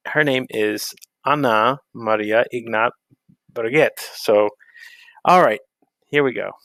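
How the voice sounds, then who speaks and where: A man's voice: medium pitch, slow, fairly bright tone, no echo, rough recording. Chatty male host, dry close mic. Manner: laughs often, rambling with restarts. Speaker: live stream host. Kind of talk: casual live stream interview.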